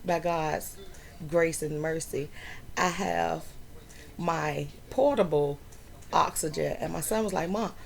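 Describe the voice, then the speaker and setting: A middle-aged woman vlogging from home, telling a personal story slowly with many fillers. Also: medium pitch, vocal fry, a little mellow. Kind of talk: personal health story vlog.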